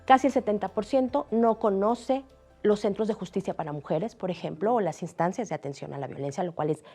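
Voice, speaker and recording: Mellow-voiced woman answering interview questions. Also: medium pitch, breathy edge, faint music behind. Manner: measured, cites figures, frequent "eh" fillers.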